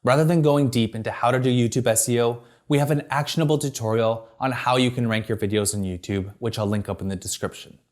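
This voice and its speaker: A man presents a scripted tutorial, reading smoothly from a teleprompter. His voice sits at an ordinary middle pitch, and he delivers each point in a clear, structured, upbeat manner. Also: steady tone, fast talker, hardly any pauses. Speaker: video marketing presenter.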